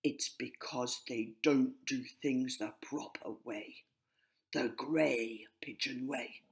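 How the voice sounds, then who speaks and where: A woman voicing a proud, cross male grey pigeon in a children's story, snooty and forceful. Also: rumbling low, slow pace, gravelly, pitched below the performer's own voice, full character voice.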